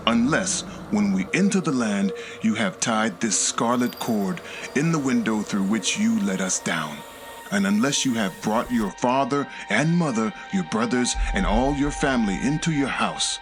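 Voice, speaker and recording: A man's voice: fairly low pitch, lively intonation, a little slow, over music. Man voicing solemn, firm Israelite spy. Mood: firm, warning. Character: two solemn male Israelite spies making an oath. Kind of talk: dramatized scripture reading.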